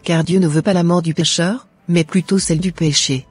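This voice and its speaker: Soft, husky devout daughter played by a woman. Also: on the low side, fairly even intonation, moderate pace, soft background music. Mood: earnest, devout. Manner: earnest, preachy. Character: devout, wise, gentle schoolgirl daughter.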